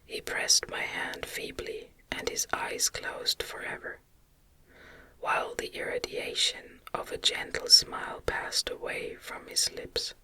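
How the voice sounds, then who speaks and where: A woman reading a novel aloud as ASMR narration in a gentle, soothing, breathy whisper. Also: slow, poor audio.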